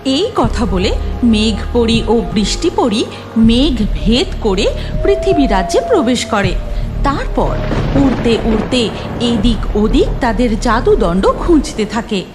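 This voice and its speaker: A woman's voice: fairly high pitch, big pitch swings, slow pace. A woman storyteller reading a scripted narration for a children's cartoon tale. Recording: music behind voice.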